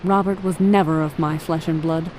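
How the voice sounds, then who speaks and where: A woman's voice: low voice, fairly even intonation, normal speed, a little mellow, slight background noise, natural voice, rough recording. A woman voicing a cold, scheming middle-aged mother who is a murderer, in an amateur radio drama, speaking with haughty disdain.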